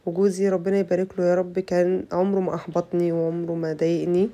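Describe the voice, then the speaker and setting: A young Egyptian woman with an Egyptian Arabic accent, her voice rough from a bad cold, chatting to her viewers through a casual cooking tutorial. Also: fairly low pitch, little pitch movement, fast talker, mellow. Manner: chatty, addresses viewers as girls. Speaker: cooking channel host.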